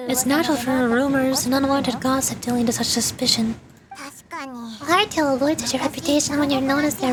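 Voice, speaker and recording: A woman's voice: high-pitched, natural voice. A woman voicing a haughty, vengeful young noblewoman knight from a fantasy game, formal and indignant, over a noisy background.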